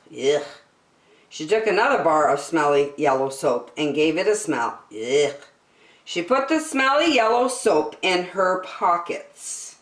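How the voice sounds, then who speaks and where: A woman reading a picture book aloud to children, expressive and deliberate in her emphasis. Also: deep voice, far from the mic. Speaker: children's story-time reader. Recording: echoey, roomy sound.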